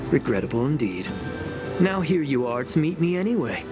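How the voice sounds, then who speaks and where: A man acts out a bitter old clan elder from a fantasy video game. He speaks in a formal, measured way with sardonic bite at a middling pitch, and music plays clearly behind him. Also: very animated, lightly acted. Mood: bitter irony. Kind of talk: scripted video game dialogue.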